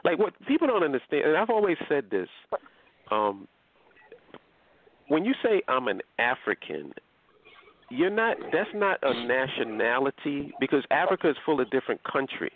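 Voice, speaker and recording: A man's voice: medium pitch, normal speed. A man from Nigeria hosting a casual group discussion, talking in an emphatic, blunt way with some cursing. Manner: emphatic, profane, animated. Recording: tinny phone-like line.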